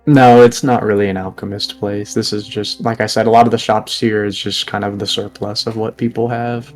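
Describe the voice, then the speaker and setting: A man's voice: lowish voice, little pitch movement, fairly quick, soft background music. A man narrating and running a tabletop game as game master, talking casually with lots of fillers.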